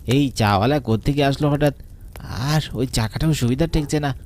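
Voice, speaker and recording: A man's voice: mid-range voice, brisk, a little mellow, a little hiss or hum, lightly acted. A man playing a timid young police assistant, hesitant and stammering, in a horror cartoon. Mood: frightened.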